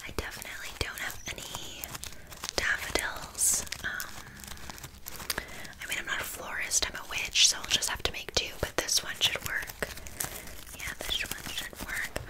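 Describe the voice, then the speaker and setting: A woman playing a scatterbrained, bluntly judgmental witch in an ASMR roleplay, rambling casually in a deadpan way. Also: unhurried, close to an everyday voice, rough recording. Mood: distracted, making do. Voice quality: soft breathy whisper. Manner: rambling, deadpan, casual asides with filler words. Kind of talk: whispered ASMR roleplay, improvised one-sided chat.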